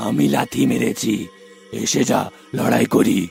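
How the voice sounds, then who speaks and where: A man's voice: fairly even intonation, very slow, a little mellow, faint music behind, exaggerated voice. A man voicing a menacing male ghost in a cartoon, taunting and gloating. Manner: gloating, taunting, low and gravelly.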